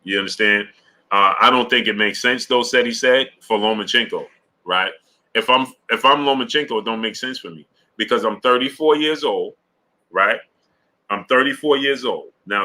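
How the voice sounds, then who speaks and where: A man with a bright, forceful tone hosts a casual boxing live stream. He greets chat viewers by name and works his points out loud, stumbling through fillers and restarts. He keeps checking in with his audience as he goes. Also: medium pitch, normal speed. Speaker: sports show host.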